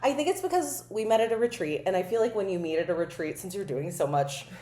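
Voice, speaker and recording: A woman's voice: mid-range voice, animated, mellow. A woman hosting a podcast in a casual, chatty interview style, with informal phrasing. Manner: informal, frequent "like", quick to laugh. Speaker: podcast host, writer and coach. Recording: roomy, echoing sound.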